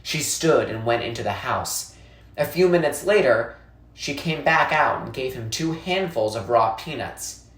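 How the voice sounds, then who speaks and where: A narrator reading a children's novel aloud in a measured, expressive storytelling way. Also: mid-range voice. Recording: echoey, roomy sound.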